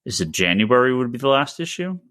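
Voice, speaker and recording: A man's voice: medium pitch, very animated, a little fast, fairly bright tone, no echo, clean studio sound. A man hosting a casual, informal discussion of comics news. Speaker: podcast host.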